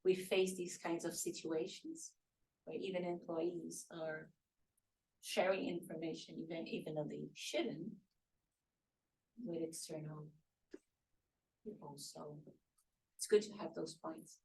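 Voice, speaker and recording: Woman running a training workshop, lowish voice, keeps restarting her sentences. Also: fairly even intonation, takes it slowly, vocal fry, pauses often, darkish tone, a little hiss or hum, low-quality recording. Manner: repeats words while building a point. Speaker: workshop facilitator. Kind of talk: interactive training discussion.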